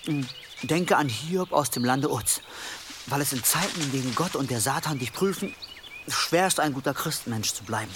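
A man's voice: medium pitch, expressive, moderate pace, noisy background, natural voice. An actor playing a starving, devout male wanderer in a radio play monologue, prayerful and self-pitying. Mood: pious. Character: starving, devout wandering man, lamenting, desperately faithful.

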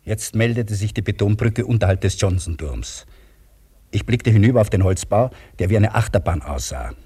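An actor playing a male crane operator who recounts his work in measured, vivid scripted radio narration, with a husky voice. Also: lowish voice, lively intonation, warm tone. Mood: matter-of-fact.

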